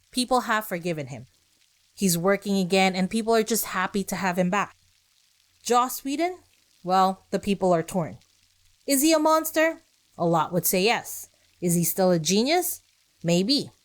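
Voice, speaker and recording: A woman's voice: mid-range voice, expressive, moderate pace. A woman hosting a podcast, reading scripted narration with conversational asides, rhetorical questions and short emphatic phrases. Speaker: podcast host.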